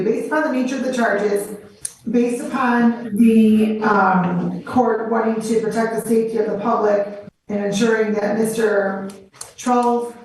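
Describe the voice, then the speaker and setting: A woman presiding as a judge in court, speaking in a firm, measured way and stopping people to question them. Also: mid-range voice. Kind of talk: court proceedings over video. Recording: echoey and distant with faint noise.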